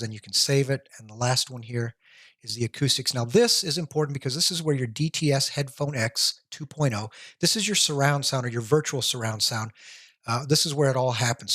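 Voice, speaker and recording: A male tech reviewer guides the listener through a software panel, one setting at a time, with the odd "uh" slipping in. His voice sits at a middle pitch and is recorded dry, right against the mic, with no room sound. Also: no echo. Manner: plain step-by-step explaining, occasional "uh" fillers. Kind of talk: software walkthrough voice-over.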